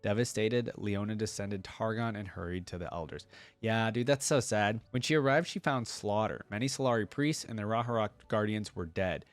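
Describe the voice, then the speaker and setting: A man's voice: fairly low pitch, normal speed, no echo, faint music behind. A man hosting a reaction video in an informal way, reading text aloud and dropping in short casual asides. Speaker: host of a fan reaction channel.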